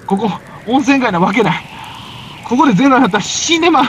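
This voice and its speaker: A man's voice: extremely high pitch, lively intonation, quick pace, pitched above the performer's own voice. A man with a Kansai accent playing an anxious, weary stranded climber in an amateur comic radio drama, earnest and exclamatory. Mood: alarmed urgent. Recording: noisy amateur recording.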